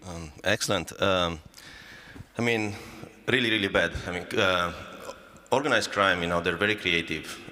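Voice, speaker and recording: Deep voiced romanian guy moderating a panel, forceful, echoey hall. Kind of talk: explanatory panel talk. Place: festival hall.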